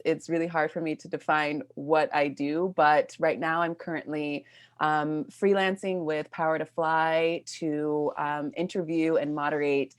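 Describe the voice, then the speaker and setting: A woman's voice: deep voice, dry recording. An American woman introducing herself casually as a panelist, chatty and inclined to digress. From Los Angeles, United States. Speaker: panelist, interviewer.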